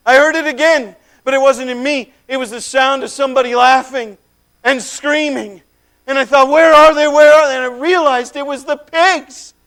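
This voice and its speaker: A man's voice: extremely high pitch, fairly even intonation, moderate pace, smooth, pitched above the performer's own voice. A man playing a haunted, freed soul. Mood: tender, fervent. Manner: swings from hushed to shouted. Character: freed tormented man recalling possession, haunted, fervent. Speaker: dramatic monologue performer. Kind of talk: first-person dramatic monologue.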